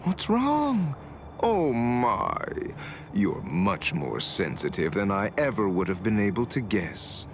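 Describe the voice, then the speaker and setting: An actor playing a vain, gloating villain in an anime game, lecturing in a pompous, theatrical way. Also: male voice, on the low side, wildly swooping pitch, takes it slowly, noisy background, heavily acted. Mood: mocking. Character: vain, gloating male villain obsessed with immortality and perfection. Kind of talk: anime video game dub acting.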